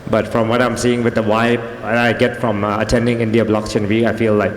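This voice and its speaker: A man from Thailand speaks on a conference panel, pausing as he hunts for his words. His voice sits slightly low, with a long hall reverb and faint ambience behind it. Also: level tone, a little hiss or hum. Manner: halting, searching for words.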